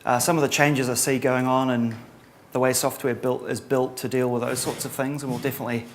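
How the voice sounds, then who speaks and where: A middle-aged man from New Zealand with a Kiwi accent, giving a relaxed conference talk with lots of ums and a dry sense of humor. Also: mid-range voice, a little fast. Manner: casual, many ums and uhs, dry humor. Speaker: conference presenter.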